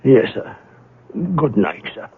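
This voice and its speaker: Husky, deferential household butler. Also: male voice, on the low side, lively intonation, slow pace, heavily acted. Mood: deferential, dutiful. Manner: formal, deferential, theatrical. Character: male household butler, deferential, proper and anxious to reassure. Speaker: actor in a radio mystery play. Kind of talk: 1970s radio drama acting. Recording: muffled narrowband old radio broadcast.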